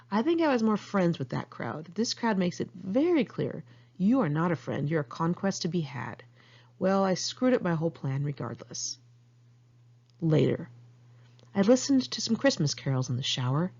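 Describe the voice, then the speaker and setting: A woman's voice: on the low side, big pitch swings, moderate pace, vocal fry, a little mellow, breathy edge, lo-fi sound. An American woman reading her own memoir aloud in a candid, conversational, sardonic way. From United States, Los Angeles area. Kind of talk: first-person memoir narration, read aloud in one take.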